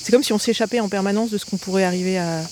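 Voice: medium pitch, steady tone, rapid-fire, noisy background. Une personne interrogée en pleine rue pendant un festival réfléchit à voix haute. Elle hésite, cherche ses mots et se reprend. Le bruit de la rue est bien présent autour de sa voix. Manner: hesitant, thinking aloud. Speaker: passer-by in street interview. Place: outdoors on a festival street.

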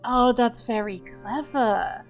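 A woman's voice: a little high, slow, soft background music. A woman in casual gaming podcast chat, acting out little quotes as she tells things.

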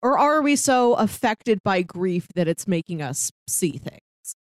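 A woman's voice: medium pitch, expressive, measured pace, no echo, studio quality. A woman co-hosting a film podcast in casual, conversational discussion.

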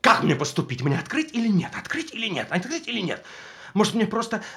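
Актёр играет ревнивого, жестокого мужа, который издевается над женой и то шипит, то срывается на крик. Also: male voice, high voice, big pitch swings, a little fast, gravelly, heavily acted. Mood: taunting, raging. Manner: mocking, swings from menacing whispers to shouting. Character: jealous abusive husband tormenting his wife. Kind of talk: stage drama performance.

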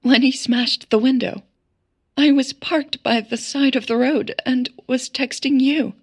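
Frightened young woman, acted by a female narrator, audio drama. Slow, halting delivery, husky and high. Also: steady tone, heavily acted. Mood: shaken. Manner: halting, shaky. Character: frightened, shaken young woman recounting an attack.

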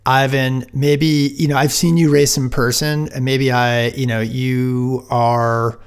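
A man's voice: medium pitch, little pitch movement, slow, smooth. A man hosting a podcast, asking long, searching questions full of fillers. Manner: thinks aloud with many fillers. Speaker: podcast host. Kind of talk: interviewing on a podcast.

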